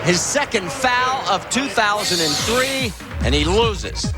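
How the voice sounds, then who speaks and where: High, excited drag race announcer. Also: male voice, takes it slowly, over loud music. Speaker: play-by-play announcer. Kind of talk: race commentary.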